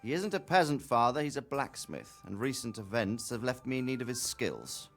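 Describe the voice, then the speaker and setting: Measured, expressive lord over music. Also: male voice, medium pitch. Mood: reasoning. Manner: measured, authoritative. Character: measured, fair-minded lord, dignified and wry. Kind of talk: video game cutscene acting.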